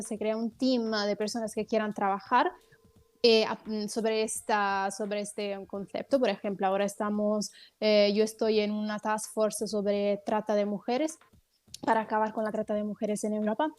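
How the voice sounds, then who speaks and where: A woman with an Italian accent answers interview questions in a fairly high voice. She explains her points step by step, often pausing on small hesitant fillers. Italian is her first language, which colors her delivery. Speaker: guest politician.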